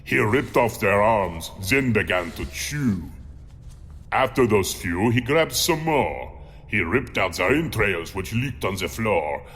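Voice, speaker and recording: A man playing a low-voiced monster reciting in a cavernous space. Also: expressive, a bit bright. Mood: grim relish. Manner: slow, deliberate, dramatic. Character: monstrous male man-eater reciting his own grim rhyming memoir. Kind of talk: theatrical rhymed storytelling. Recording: long cavernous reverb.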